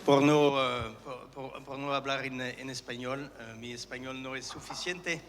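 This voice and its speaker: A man from Montreal gives a conference talk as a museum curator. He speaks in halting short phrases with many filler sounds and pauses for an interpreter. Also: medium pitch, fairly even intonation, fairly bright tone. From Montreal, Canada. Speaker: museum curator giving a lecture. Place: large lecture hall at a conference. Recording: reverberant hall with faint noise.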